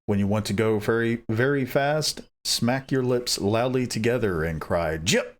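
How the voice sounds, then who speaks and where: A man reading aloud as a friendly, smooth-talking horseman in a fairy tale, in a persuasive storybook manner. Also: medium pitch, measured pace, lightly acted.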